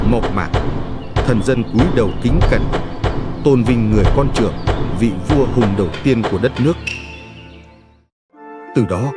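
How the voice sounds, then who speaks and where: A man narrating a legend from a script, with solemn, measured storytelling. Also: mid-range voice. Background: music.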